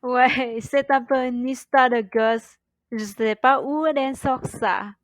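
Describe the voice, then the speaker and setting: A meek, peace-keeping father played by a woman in a radio play, in a fairly high voice. The delivery is slow, hesitant and evasive, and sounds close and dry. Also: fairly even intonation, no room sound. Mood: placating. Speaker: student actor. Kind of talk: radio drama acting.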